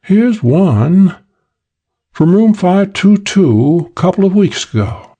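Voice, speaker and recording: A man's voice: high-pitched, big pitch swings, takes it slowly, warm tone, highs cut off, voice pushed up, full character voice. A man voicing a sassy, weary young female hotel switchboard operator in a detective audiobook, with a slangy, sighing, put-upon delivery. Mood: grudging. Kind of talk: audiobook character voice for hardboiled detective story.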